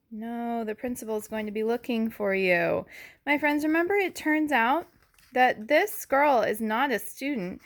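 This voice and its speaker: A woman teacher reading a picture book aloud to young children, deliberately and expressively, with explanatory asides and questions. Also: a little high, a bit bright. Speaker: elementary school teacher reading to her class.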